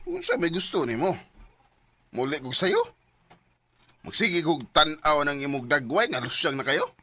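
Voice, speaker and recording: An actor playing a callous, hard-drinking, quick-tempered husband in a Visayan radio drama, sneering and blustering in a Cebuano Bisaya accent. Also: male voice, on the high side, very animated, sharp tone, slight background noise. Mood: defensive, sneering.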